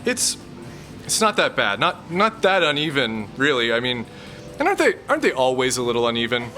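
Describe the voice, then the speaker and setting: A bright, mid-pitched male voice that stammers and hesitates, nervous and eager to please, as a man plays him. It is a comedic audio drama, and faint music plays beneath him. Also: big pitch swings, a little fast. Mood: sheepish.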